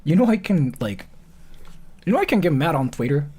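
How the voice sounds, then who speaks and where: Male streamer, young, slightly high-pitched. Animated, rambling, repetitive casual chat with offhand swearing. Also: fairly quick, very noisy. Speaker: streamer reacting to videos. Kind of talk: casual chat commentary to an audience.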